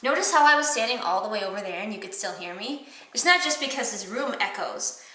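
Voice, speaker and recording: A young woman with a nasal Wisconsin accent teaching acting, enunciating clearly and animatedly, recorded on a camera mic in an echoey room. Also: mid-range voice, expressive, a little fast, pushed and bright. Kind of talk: enthusiastic how-to vlog. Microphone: camera mic across the room. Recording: roomy echo from far camera.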